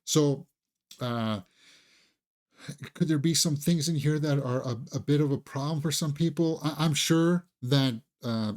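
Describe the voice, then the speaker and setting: A man's voice: medium pitch, a little mellow. A man giving a casual movie review as a film critic, talking conversationally like an enthusiastic fan.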